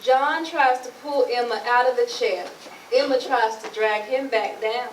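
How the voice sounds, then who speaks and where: A woman's voice: on the high side, unhurried, a little hiss or hum. A woman narrator reading stage directions for a theatre play reading. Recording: echoey, distant mic, music behind.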